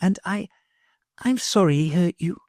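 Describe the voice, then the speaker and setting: A man reading aloud, voicing a gentle, timid young girl who speaks softly. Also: sits high, expressive, unhurried, no room sound, clean studio sound, voice pushed up. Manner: soft, kind.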